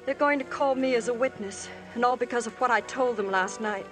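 A woman's voice: on the high side, a little fast, music in the background, natural voice. A woman playing a desperate young woman who pleads in anguish in a 1950s radio drama.

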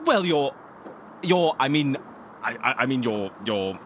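A man's voice: medium pitch, sing-song, a little slow, a bit bright, a little hiss or hum. A man playing a polite, eccentric fixer in a comedy audio drama, hesitant and theatrical in his manner. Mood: flustered. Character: eccentric, polite, excitable male fixer interviewing a client. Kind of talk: audio fiction comedy acting.

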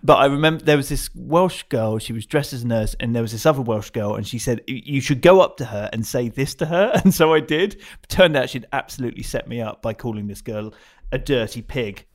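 A man hosting a casual interview, chatty and playful, with quick asides. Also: medium pitch, animated. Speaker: podcast host.

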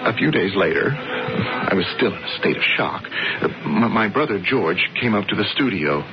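An actor playing a lovesick, excitable sculptor in a 1970s radio drama, stammering and pleading as he talks. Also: lowish voice, normal speed. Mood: dejected, recounting. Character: Frank, lovesick younger brother, anguished excitable sculptor. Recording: dull 1970s radio broadcast, music behind.

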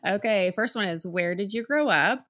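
A woman hosting a podcast, chatting casually and asking her guest upbeat quick-fire questions. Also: mid-range voice, fairly bright tone. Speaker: podcast host. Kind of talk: casual interview chat.

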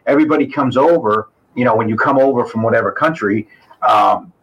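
A male school administrator with a husky, slightly rough voice at a middle pitch. He lays out his points earnestly and lists one thing after another as an interview guest. The New is faint. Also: fairly quick, a bit bright, dull audio. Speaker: assistant superintendent.

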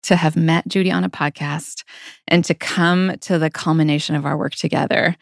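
A woman's voice: low voice, steady tone, moderate pace, gravelly, dry recording, studio quality. A woman co-hosting a podcast, talking casually in an earnest, reflective storytelling way. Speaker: podcast co-host.

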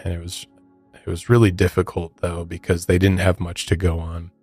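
A man's voice: low voice, little pitch movement, moderate pace, darkish tone, husky, soft background music. An American man hosting a podcast, telling a true crime story in a conversational way with informal asides. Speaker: podcast host.

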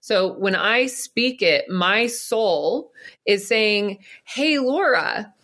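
A woman's voice: mid-range voice, lively intonation, very slow, fairly bright tone. A middle-aged woman being interviewed, speaking earnestly and fluidly about spiritual topics, often breaking into laughs. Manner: earnest, flowing, laughs easily. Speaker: podcast guest, psychic and business coach.

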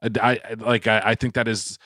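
A man's voice: fairly low pitch, flat delivery, moderate pace, sharp tone, dry recording, clean studio sound. A man co-hosting a comedy food podcast, rambling with many restarts and lots of swearing. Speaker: podcast co-host. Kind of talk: casual comedic chat.

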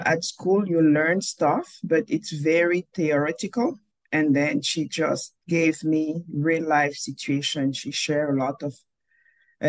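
A woman's voice: low voice, no echo. A woman in her forties from Cameroon, a filmmaker answering interview questions over a video call, thoughtful and often rephrasing mid-sentence. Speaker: filmmaker guest.

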